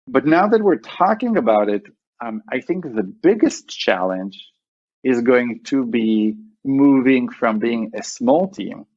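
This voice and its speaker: A man's voice: medium pitch, animated, slow, darkish tone, dry recording. Man, Israeli accent, guest on a podcast, rambling explanations, dull dry sound. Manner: rambling, with many fillers and restarts. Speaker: guest, startup CEO. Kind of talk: conversational podcast interview.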